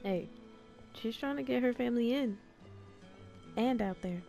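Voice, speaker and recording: A woman's voice: on the high side, unhurried, creaky, mellow. A woman streaming a game with casual, playful, jokey reactions. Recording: game music behind the voice. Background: game music.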